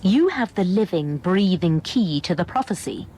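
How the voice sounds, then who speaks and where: A woman playing a proud alien seer in a video game, speaking in measured, cryptic, portentous phrases. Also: lowish voice, expressive, very noisy, natural voice. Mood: ominous, cryptic. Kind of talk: dramatic game cutscene acting. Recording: loud music behind the voice.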